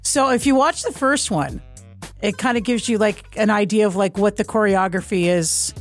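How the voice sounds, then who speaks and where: A woman's voice: medium pitch, normal speed, soft background music. A woman co-hosting a morning radio show in a casual, playful, chatty style. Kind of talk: casual on-air chat. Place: radio studio.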